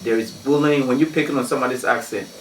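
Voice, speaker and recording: A man's voice: mid-range voice, fairly even intonation, fast. Talkative man from Ghana, echoey room. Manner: talkative, many "you know" fillers, laughs often. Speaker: guest, community activist. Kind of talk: radio interview storytelling. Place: radio studio. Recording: roomy, distant mic with faint noise.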